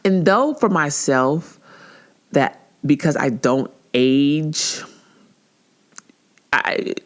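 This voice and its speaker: A woman in her forties talks through her own podcast in a loose, casual monologue. She is chatty and animated, laughs easily and often restarts her sentences. When she acts out what someone said, her voice jumps far higher. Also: drawn-out words. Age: 40s. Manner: laughs easily, with frequent filler words and restarts. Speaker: podcast host.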